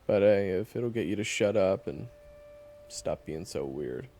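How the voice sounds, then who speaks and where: Man acting a very deep, sardonic monster hunter. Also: animated, measured pace, vocal fry, mellow, faint music behind, full character voice. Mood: guarded, irritated. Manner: dry, teasing, bluntly dismissive. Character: sardonic, guarded young male monster hunter, begrudgingly chatty. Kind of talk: fantasy audio roleplay acting, addressed to the listener.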